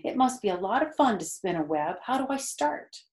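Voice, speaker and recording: A woman's voice: mid-range voice, big pitch swings, moderate pace, darkish tone, echoey room, pitched above the performer's own voice, lightly acted. A woman voicing a young, boastful little pig in a storybook, eager and naive.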